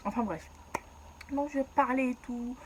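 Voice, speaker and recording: A woman's voice: on the high side, a little slow, a little mellow, a little hiss or hum. A young woman from Ivory Coast telling her own story in a casual, slangy way and laughing at herself.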